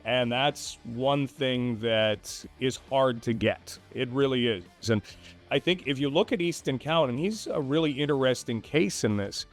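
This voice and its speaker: A man's voice: mid-range voice, lively intonation, measured pace, faint music behind. A man, a sports broadcaster appearing as a guest, talks through hockey analysis conversationally, explaining with emphatic, repeated short phrases.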